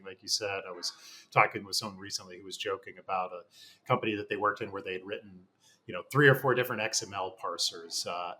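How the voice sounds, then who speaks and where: Low, bright-toned podcast host. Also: male voice, a little hiss or hum. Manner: affirming, rambling questions. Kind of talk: interview hosting.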